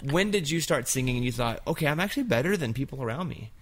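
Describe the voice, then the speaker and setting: A man hosts a relaxed sit-down chat at an everyday mid pitch. He circles around his questions at length and keeps slipping in playful jokes. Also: fast talker. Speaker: host and comedian. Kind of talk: casual interview chat.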